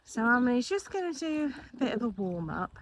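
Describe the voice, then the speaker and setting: A woman helping film a riding session, talking in a relaxed, reassuring way from an outdoor arena. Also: on the high side, a little fast. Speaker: helper filming the rider. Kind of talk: casual chat. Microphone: camera mic, no wind cancelling. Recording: faint wind noise outdoors.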